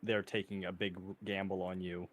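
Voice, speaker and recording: A man's voice: low voice, little pitch movement, normal speed, a bit bright. A man chatting with a friend on a game stream, rambling with lots of fillers and laughing often. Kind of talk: casual gaming chat.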